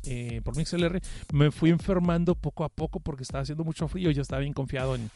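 A middle-aged Mexican man speaks in a fairly high register, with a cold in his voice. He hosts a live stream in an informal, slangy way, poking fun at himself as he reads comments. Music plays behind him. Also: fast, warm tone. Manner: informal, slangy, self-deprecating. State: has a cough and cold. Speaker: podcast host. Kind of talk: casual live stream chat, reading listener comments.

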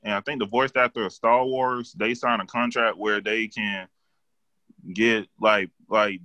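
A man with a deep voice chatting casually, swearing and using lots of fillers. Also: bright, forceful tone, no room sound, low-quality recording. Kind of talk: casual chat.

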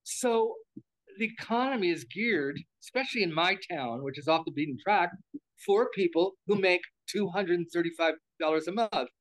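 Older man, high-pitched, American, interview guest, rambling, repeats words. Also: normal speed, fairly bright tone, low-quality recording. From United States. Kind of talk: casual interview talk.

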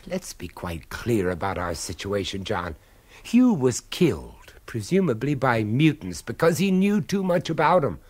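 A man playing a shrewd, suspicious investigator in a radio drama, speaking in a brisk, wry, urbane way. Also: medium pitch, sing-song, a little mellow. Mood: wry, urgent.

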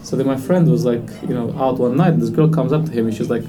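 A man's voice: medium pitch, fast, dark tone, faint music behind. A man in his thirties from Romania, a stand-up comedian chatting casually in an interview, jokey and swearing freely. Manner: jokey, swears casually, laughs at own jokes.

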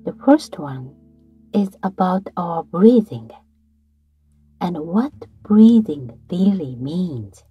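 A woman guiding a breathing meditation in a slow, soft voice that often drops to a whisper. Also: on the low side, big pitch swings, husky, highs cut off. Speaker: meditation guide. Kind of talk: guided meditation narration. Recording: soft guitar music behind.

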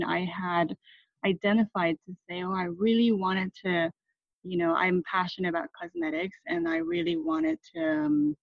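Woman in her thirties from Taiwan, reflective guest, lowish voice, dry muffled recording. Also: no echo. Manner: thoughtful, many fillers and restarts. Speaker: interview guest. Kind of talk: reflective conversational interview. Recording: dull muffled sound, dry.

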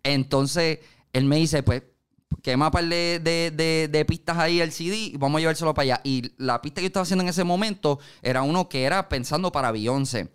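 Clear-voiced Puerto Rican man telling stories. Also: a little high, a little fast. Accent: Puerto Rican Spanish. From Puerto Rico. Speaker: music producer, interview guest. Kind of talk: casual conversational storytelling.

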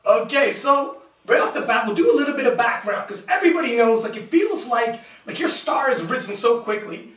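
A man's voice: very high-pitched, animated, brisk, a bit bright, recorded from a distance. A young man from Canada hosting a casual live interview show, chatty and laughing often, full of fillers. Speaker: host. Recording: roomy sound, mic set back.

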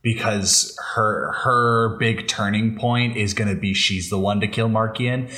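Male co-host, deep register, informal podcast discussion, halting and repetitive phrasing. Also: fairly even intonation, bright, forceful tone. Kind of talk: casual chat.

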